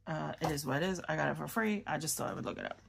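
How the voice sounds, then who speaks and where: Low, slow, gravelly-voiced woman from New York. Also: background noise. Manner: rambling, self-correcting, murmurs while writing. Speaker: fountain pen vlogger. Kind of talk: casual chat while writing.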